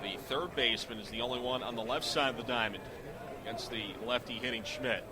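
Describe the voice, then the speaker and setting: A middle-aged man calling baseball live on the radio as play-by-play announcer, in clipped descriptive phrases that rise into excited calls. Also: medium pitch, fairly quick, very noisy. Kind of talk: live radio sports commentary.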